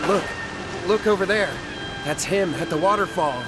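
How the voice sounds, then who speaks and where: An actor playing an anxious, evasive young villager in a video game, speaking nervously over a clear rushing hiss. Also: male voice, high-pitched, music in the background, close to an everyday voice. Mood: urgent. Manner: nervous, stammering.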